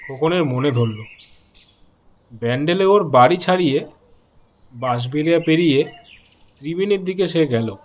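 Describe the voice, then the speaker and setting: Higher-pitched man narrating a horror story. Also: highs cut off, slight background noise. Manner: measured, pausing between short phrases.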